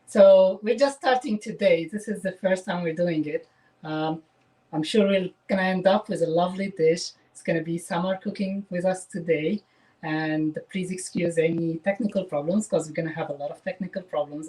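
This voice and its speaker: A man's voice: high voice, fairly even intonation, moderate pace, darkish tone. Middle-aged man opening a live home cooking stream; friendly, casual, heard from a distance. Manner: friendly, hesitant, a few uhs. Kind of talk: casual welcome speech. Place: home kitchen, indoors. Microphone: webcam on a video call. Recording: distant webcam mic in kitchen.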